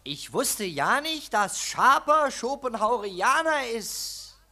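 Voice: mid-range voice, sing-song, slow, sharp tone, exaggerated voice. An actor playing an earnest, questioning admirer of patriotic slogans in a 1940s German radio play. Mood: earnest, puzzled.

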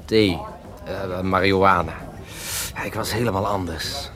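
An actor in a radio play playing a cocky, lovesick young beatnik poet who smokes marijuana, with a theatrical, mocking drawl. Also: male voice, low-pitched, fairly even intonation, unhurried, a crowd in the background. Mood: dreamy, scornful. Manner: theatrical, mocking, languid drawl with sudden outbursts.

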